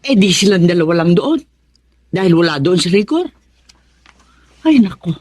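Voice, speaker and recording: A woman's voice: on the low side, big pitch swings, normal speed, darkish tone. An actress playing a nosy, scatterbrained middle-aged wife and mother who gossips and exclaims to herself in a Tagalog radio drama. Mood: scandalized gossiping.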